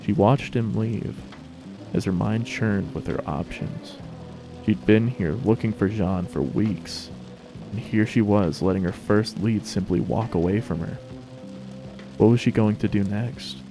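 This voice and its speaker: A man's voice: fairly low pitch, measured pace, music in the background. A man narrating a story as a scripted dramatic reading.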